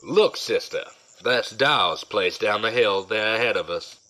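Casting: shrewd fighter voiced by a man, lowish and forceful, watchful, plotting manner for radio drama. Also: animated, unhurried, slight background noise. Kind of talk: audio drama acting.

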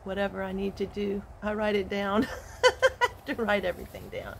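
A woman in her sixties, a retired teacher, sharing a gentle, earnest devotional while recording on her phone on an outdoor porch. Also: medium pitch, fairly even intonation, vocal fry, a little hiss or hum, low-quality recording. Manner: slow, gentle and earnest, with soft laughs. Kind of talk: casual devotional walk-and-talk vlog. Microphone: phone held at arm's length.

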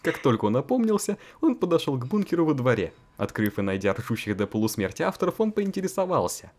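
A man's voice: mid-range voice, big pitch swings, quick pace, a little mellow. A young man reading a visual novel aloud and chatting about it in a playful, giggly way. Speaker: let's-play host.

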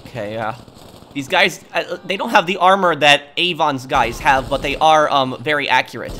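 A man's voice: on the high side, normal speed, sharp tone, a little hiss or hum. A man giving casual gameplay commentary as a video game streamer. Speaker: gaming streamer. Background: video game gunfire and ambience.

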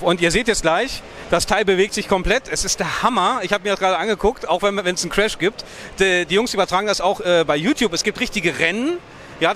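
A man with a notably high voice talks in casual, excited vlog style. He is slangy and pokes fun at himself, recorded in an event hall with clear background noise. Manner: slangy, hyped, self-deprecating. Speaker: vlog host. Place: busy glass-fronted event hall with racing simulators. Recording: noisy event hall, vehicle sounds behind.

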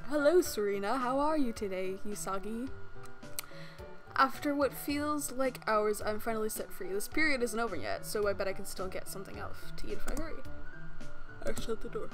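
A young woman plays a visual novel and reads its lines aloud, breaking off to joke, giggle and swear. Her voice sits at an ordinary middle pitch, and clear game music plays under her talk. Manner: playful, giggly, swears casually. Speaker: let's play host.

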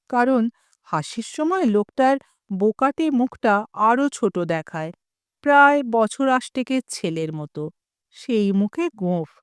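A woman with a Bengali accent reading a story aloud in a slow, clear, expressive way. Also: a little high, dry recording, clean studio sound. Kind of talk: scripted story narration.

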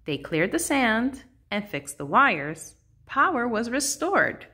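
Slow, lively woman reading a storybook. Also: on the high side, slightly rough. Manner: measured, sing-song storytelling. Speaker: children's book read-aloud host. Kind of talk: expressive picture-book read-aloud in rhyme.

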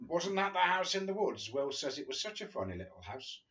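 A man's voice: on the high side, big pitch swings, a little fast, a bit bright. An English man in his fifties reading a memoir aloud in an informal, amused way. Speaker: guest reader. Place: small living room, seated on a sofa. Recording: dull lo-fi video call audio.

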